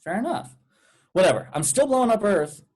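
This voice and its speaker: A smug evil twin from outer space, acted by a man in a comedy table read. The voice sits a little high for a male and is flippant and sarcastic. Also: very animated, a little fast, darkish tone. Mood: sulky.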